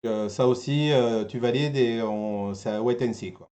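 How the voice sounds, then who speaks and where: A man's voice: mid-range voice, normal speed, mellow. A man hosting a casual talk show in a teasing, joking way. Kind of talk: casual banter.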